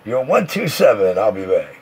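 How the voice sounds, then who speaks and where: A man's voice: lowish voice, very animated, normal speed. A man talking slangy CB radio chatter in a boastful, swaggering patter. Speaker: CB radio operator. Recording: noisy CB radio with static.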